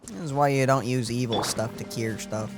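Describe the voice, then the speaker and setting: A man's voice: medium pitch, fairly even intonation, moderate pace, music in the background. A man hosting a let's play, chatting casually in a playful, offhand manner. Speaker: let's play host and player. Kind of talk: casual gaming chat.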